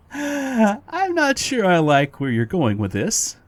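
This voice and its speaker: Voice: medium pitch, wildly swooping pitch, extremely slow, no room sound, exaggerated voice. An actor in an audio drama playing a playful, petty, non-human best friend who teases in an exaggerated, theatrical way. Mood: wary, evasive. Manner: teasing, chuckling, exaggerated.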